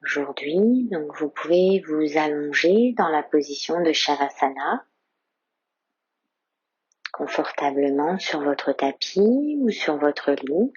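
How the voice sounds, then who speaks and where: A woman guides a yoga nidra session in a slow, hushed, breathy voice, drifting into whispers. Her tone sits a little low, and the close, dry recording sounds somewhat dull. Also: lively intonation, dry recording. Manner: slow, soft-spoken guidance with whispered phrases. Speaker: yoga teacher.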